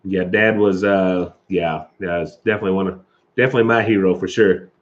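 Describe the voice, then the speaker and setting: A man's voice: deep voice, steady tone, a little fast, dull audio. Chatty, coughing man on a live stream. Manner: rambling, chatty, chuckles often. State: coughing from allergies. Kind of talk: casual chat with live viewers.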